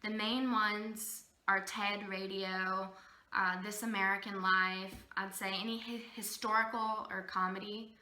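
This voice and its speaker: A woman's voice: medium pitch, level tone, measured pace, bright, forceful tone. A woman in her twenties answering interview questions casually, hesitant and thinking aloud as she goes. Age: 20s. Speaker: focus group participant. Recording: roomy echo.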